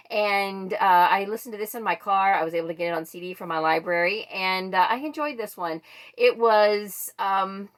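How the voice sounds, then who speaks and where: A woman book vlogger chatting casually and thinking aloud as she goes. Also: medium pitch. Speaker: book vlogger presenting a monthly reading wrap-up. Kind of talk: casual chat to camera.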